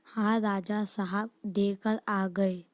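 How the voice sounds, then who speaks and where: A woman's voice: medium pitch, fairly even intonation, slow pace, dry recording, lightly acted. A woman voicing a dutiful royal soldier who reports deferentially to his king in a children's cartoon story.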